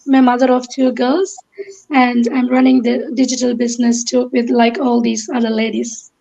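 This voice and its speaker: A woman in her thirties from Kerala, India, speaking as a guest in an online interview, pausing often with ums and uhs. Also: a little high, little pitch movement, creaky, mellow, lo-fi sound. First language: Malayalam. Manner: hesitant, many ums and uhs.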